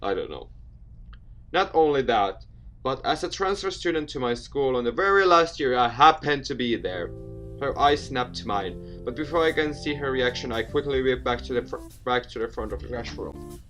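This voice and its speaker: A man plays host to a visual novel playthrough. He reads the text theatrically, tripping over words and adding casual comments. His voice sits at a middling pitch. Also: animated, normal speed, a bit bright, faint music behind. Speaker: video game let's player, host.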